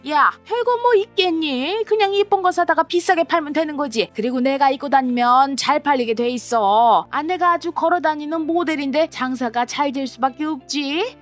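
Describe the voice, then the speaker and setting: A woman's voice: moderate pace, hardly any pauses, faint music behind, close to an everyday voice. A woman voicing a brash, pushy middle-aged stepmother who talks down and scolds. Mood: scolding. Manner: shrill, scolding, condescending. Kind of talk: dramatized story reading.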